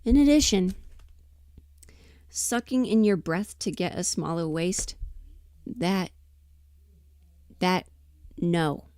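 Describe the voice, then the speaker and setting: Low-voiced woman, animated casual streamer. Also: slow pace, pauses often, no echo. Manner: animated, opinionated, swears, emphatic. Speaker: livestream host and voice performer. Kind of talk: casual rambling livestream chat.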